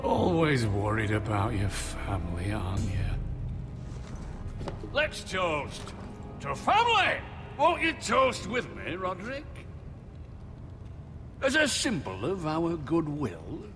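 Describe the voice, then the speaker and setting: An actor playing an older, menacing rival lord in a fantasy video game, pompous, mocking and theatrical. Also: male voice, on the high side, huge pitch swings, slow, long pauses, exaggerated voice. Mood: gloating, mocking. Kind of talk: dramatic video game acting. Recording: long hall reverb, music underneath. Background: background music.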